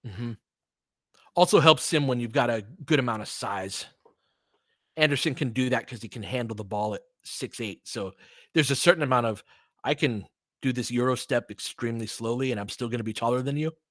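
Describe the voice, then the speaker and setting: A man's voice: mid-range voice, brisk, a bit bright, no room sound, studio quality. A man with an American accent hosting a casual basketball commentary, analytical and thinking aloud in sentences that trail off and restart. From California, United States. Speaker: host and play-by-play commentator. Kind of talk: casual basketball chat and live commentary, answering listeners.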